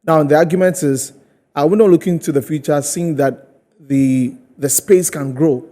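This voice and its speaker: A Ghanaian man hosting a conversational TV interview, building his points piece by piece in a halting way. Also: on the high side, warm tone. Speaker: TV host.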